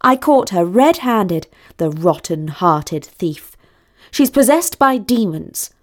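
A woman's voice: medium pitch, sing-song, takes it slowly. A woman voicing a haughty, dramatic young princess in a storybook, demanding and melodramatic. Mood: indignant, insistent. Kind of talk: acted dialogue in a storybook reading.

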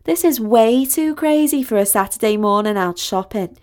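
Storybook best friend, read by a woman: young female, sensible, weary, pleading, slightly high voice. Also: lively intonation, normal speed, a little mellow, lightly acted. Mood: embarrassed, fed up. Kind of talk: acted dialogue in a storybook reading.

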